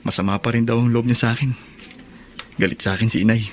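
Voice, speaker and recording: A man's voice: medium pitch, steady tone, fast talker, a little hiss or hum, natural voice. A man playing a hurt, defiant younger brother in prison in a Filipino radio drama. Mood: hurt, wounded.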